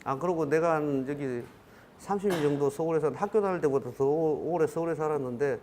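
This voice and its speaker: A man's voice: on the high side, a little fast, a little mellow, a little hiss or hum. A man in his fifties with a regional Korean dialect, giving a classroom lecture in a rambling, self-correcting way with many fillers. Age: 50s.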